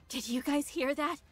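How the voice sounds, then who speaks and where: A woman voicing a small, timid, earnest android girl soldier in an anime game, with music behind. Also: high voice. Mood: timid, surprised.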